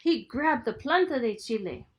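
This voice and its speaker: A woman reading a children's story aloud in a dramatic, expressive storytelling manner. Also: sits high, moderate pace. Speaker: storybook reader, school superintendent.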